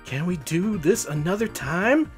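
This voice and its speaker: A man's voice: high-pitched, expressive, a little slow, soft background music, pitched above the performer's own voice. A man voicing a feisty, bossy young heroine in a storybook. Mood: exasperated.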